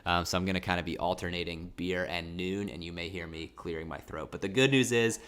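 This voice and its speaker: A man's voice: low-pitched, fairly even intonation, a little fast, fairly bright tone. A man hosting a casual livestream, chatting in a rambling, self-correcting way while getting over a mild cold with a slightly sore throat. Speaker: livestream host. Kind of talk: casual livestream chat with viewers.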